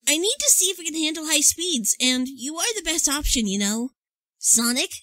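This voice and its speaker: A woman's voice: high-pitched, lively intonation, moderate pace, bright, forceful tone, no room sound. A woman voicing an earnest, anxious young boy fox sidekick with a pleading manner in a cartoon comic dub. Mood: curious, friendly. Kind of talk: fan comic dub acting.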